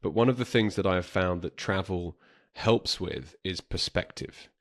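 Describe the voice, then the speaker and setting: Low-voiced southern English man, podcast host. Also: moderate pace. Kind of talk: conversational interviewing.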